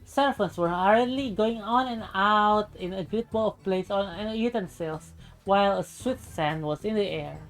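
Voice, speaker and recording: A woman streamer narrating a visual novel aloud in a deliberate, expressive storybook style. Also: mid-range voice, rough recording. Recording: music behind the voice. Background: game music.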